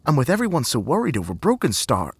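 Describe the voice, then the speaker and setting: A man's voice: medium pitch, wildly swooping pitch, quick pace, breathy edge, no echo, voice pushed up, heavily acted. A man voicing a polite, hopeful young apprentice cat in a storybook tale. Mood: hopeful, cautious.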